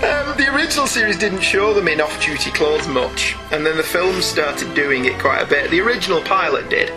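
A man's voice: on the high side, lively intonation, fairly quick, poor audio. A man chatting casually as co-host of a comic-book review podcast, opinionated and wry. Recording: music behind the voice. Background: music.

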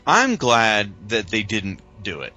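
A man's voice: on the low side, wildly swooping pitch, takes it slowly, sharp tone, faint music behind, rough recording. An American man co-hosting a podcast in casual, conversational chat. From United States. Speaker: podcast co-host.

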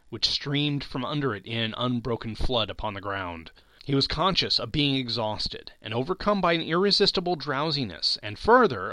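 A man narrating classic fiction as a dramatic, theatrical storybook reading with expressive phrasing. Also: medium pitch, no room sound. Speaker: podcast host reading aloud.

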